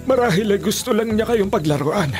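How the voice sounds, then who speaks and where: Casting: fatherly older man, fairly high voice, reassuring and knowing, radio drama with music underneath. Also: animated, a little fast, darkish tone. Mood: grave, explaining. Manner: explanatory, reassuring. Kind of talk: Filipino radio drama acting. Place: small radio studio. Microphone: studio condenser microphone. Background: music bed.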